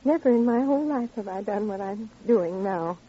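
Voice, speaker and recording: A woman's voice: mid-range voice, animated, moderate pace, slightly rough. An actress in an old radio drama playing a grieving, eerily polite woman with a dreamy, detached manner. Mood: dazed, polite. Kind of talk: old-time radio acting. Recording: muffled, noisy old radio recording.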